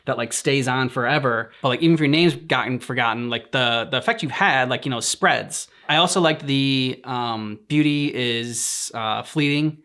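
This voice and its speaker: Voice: mid-range voice, moderate pace, hardly any pauses, fairly bright tone. A reaction show co-host chatting casually and reflectively, searching for words in a hesitant manner.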